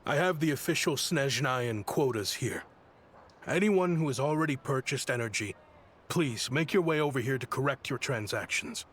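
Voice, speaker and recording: An actor playing a smooth, contrite town liaison in a video game, with a pleading, theatrical manner. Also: male voice, mid-range voice, lively intonation, normal speed, a bit bright.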